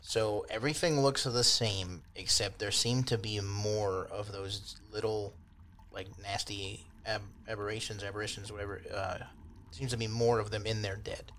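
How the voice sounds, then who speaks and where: A man's voice: lowish voice, steady tone, normal speed, fairly bright tone. A man narrating casually as a game master, explaining things in an accommodating way over background noise. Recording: constant clear background noise.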